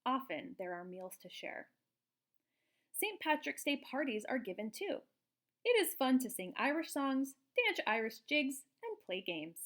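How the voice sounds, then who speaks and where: A woman reading a picture book aloud to young children in an expressive, explanatory way, like a teacher. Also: high voice, unhurried. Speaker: children's storybook reader, teacher.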